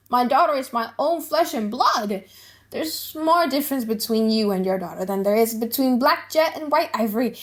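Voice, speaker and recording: Gossipy, mocking young gentleman, played by a woman, high voice, chatty delivery for audiobook drama. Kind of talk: dramatic audiobook reading of a play.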